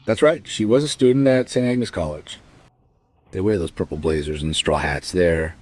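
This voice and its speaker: An actor playing a dry, probing detective inspector in a crime radio play, speaking in a measured, questioning way. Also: male voice, sits low, a little mellow.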